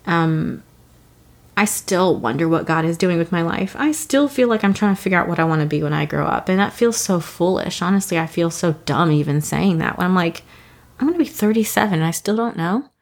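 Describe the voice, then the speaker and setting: A woman in her thirties speaks in a rather low voice with a gravelly edge. She talks earnestly and reflectively, hosting a faith podcast and wandering through her thoughts with ums and soft laughs. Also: animated, a little fast. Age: 30s. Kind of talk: reflective devotional talk.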